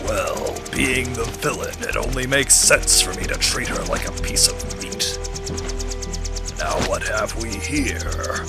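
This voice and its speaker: Man doing a pompous, slow-talking Soviet villain. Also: mid-range voice, loud music behind the voice. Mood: smug menace. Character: bombastic villainous Soviet colonel, gleefully menacing. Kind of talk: comedic parody dub.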